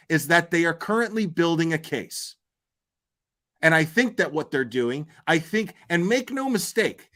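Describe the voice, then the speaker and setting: A man with a slightly high-pitched voice hosts an unscripted livestream commentary. He speaks bluntly and argumentatively, with coarse wording. He leans on repeated phrases to drive his points home. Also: a bit bright. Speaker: livestream host commenting on crime cases.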